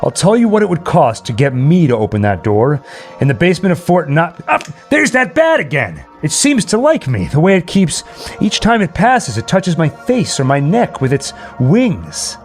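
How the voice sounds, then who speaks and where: A man playing a nervous, rambling man alone in a haunted house in a horror radio play, halting and talking straight to his listeners. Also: on the high side, very animated, soft background music. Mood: agitated. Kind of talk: old-time horror radio play monologue.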